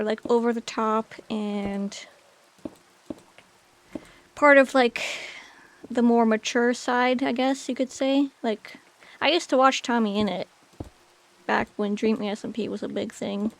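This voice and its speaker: A chatty woman in her late twenties talks with her stream audience while playing a game. Her voice sits a little high, and she rambles loosely with plenty of fillers. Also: fairly even intonation, slow, long pauses. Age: 20s. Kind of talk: casual chat with chat while gaming.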